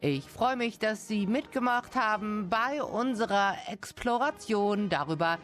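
A woman's voice: mid-range voice, measured pace, a bit bright. A woman hosting a music programme and interviewing a guest in an inquisitive manner. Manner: inquisitive, checks understanding with questions. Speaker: radio host.

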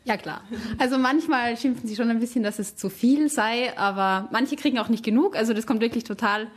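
A woman's voice: fairly high pitch, a little fast. A young woman from Tyrol with a light Austrian accent, a German teacher answering interview questions in a chatty way, often correcting herself. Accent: Austrian, Tyrolean-tinged standard German. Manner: chatty, self-correcting, many fillers.

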